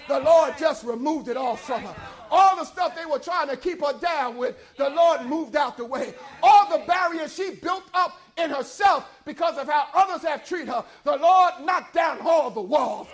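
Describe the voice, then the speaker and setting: A man preaching a sermon, building into shouted, rhythmic calls to the congregation. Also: extremely high pitch.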